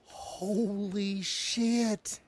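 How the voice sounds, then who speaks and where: A man playing an excitable, gossipy young theater crew member who speaks informally in an audio drama. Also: high voice, very slow, a crowd in the background. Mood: amazed, gossipy. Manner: exclamatory, informal.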